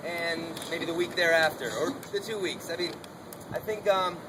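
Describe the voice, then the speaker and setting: A man's voice: fairly high pitch, steady tone, normal speed. A man stepping up to speak at an outdoor protest gathering, nervous and stammering, often restarting his sentences. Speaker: protester at an open-mic speech. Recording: noisy outdoor recording.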